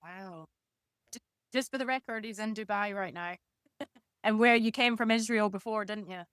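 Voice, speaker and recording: A woman hosts a conversational podcast interview in a medium-pitched voice. She is responsive and encouraging with her questions, and the sound is dry with hardly any room in it. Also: moderate pace, fairly bright tone, clean tone, no echo.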